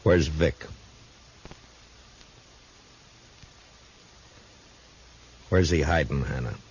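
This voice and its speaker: An actor playing a firm, plain-spoken marshal in a 1950s radio western, stern and probing. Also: male voice, very deep voice, normal speed, darkish tone, breathy edge. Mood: pressing. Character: firm, plain-spoken frontier marshal, tough but fair. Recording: dull, hissy 1950s radio recording.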